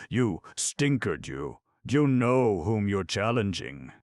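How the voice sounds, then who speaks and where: A man voicing a hoarse, belligerent brawler with a North Skane accent. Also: fairly low pitch, big pitch swings, slow, dry recording, pitched above the performer's own voice. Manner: challenging, threatening.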